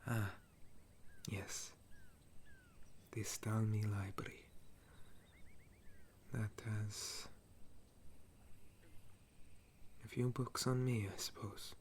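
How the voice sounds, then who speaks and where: A man voicing a gentle, centuries-old sun elf and retired soldier in an intimate ASMR roleplay, soft and breathy, often slipping into a whisper, with a hesitant storytelling manner. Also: lowish voice, fairly even intonation, slight background noise. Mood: wistful, gentle. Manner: slow, hesitant, softly chuckling storyteller.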